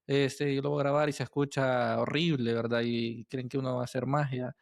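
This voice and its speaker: A man with a Latin American Spanish accent that uses vos, hosting a casual interview and thinking aloud in a halting way. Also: medium pitch, little pitch movement, vocal fry, no echo, clean studio sound. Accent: Latin American Spanish with voseo. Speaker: podcast host and interviewer.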